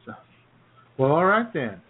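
A man's voice: fairly high pitch, sing-song, unhurried, narrow, tinny audio, slight background noise. A man co-hosting a comedy radio show with casual, joking chat. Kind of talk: casual comic banter.

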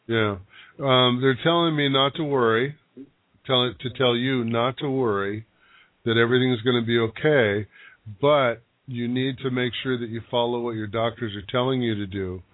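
A man giving psychic readings on a call-in radio show. He talks in a halting, searching way and often restarts his sentences. His voice sits at a middle pitch and comes through dry and narrow, like a phone line. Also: dry recording. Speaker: psychic medium, show regular.

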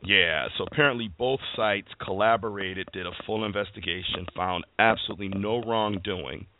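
A man's voice: on the low side, moderate pace. A man hosting a casual sports chat, rambling with plenty of fillers. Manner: rambling, filler-heavy, conversational. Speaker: podcast host. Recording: tinny phone-like line.

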